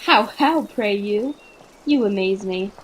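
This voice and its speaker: A woman's voice: mid-range voice, slow pace, slight background noise, lightly acted, poor audio. A woman acting a playful, scheming prince in an amateur Shakespearean radio play. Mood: feigned concern. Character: courtly male prince, playful, scheming leader.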